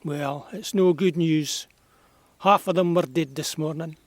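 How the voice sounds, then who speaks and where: Man acting a grieving old Scottish beekeeper, high-pitched. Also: a little slow, dry recording. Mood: grieving, bleak. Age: older. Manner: musing, rambling, dialect-rich. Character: frail, grieving old Scottish beekeeper, gruff but whimsical. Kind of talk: audio drama dialogue.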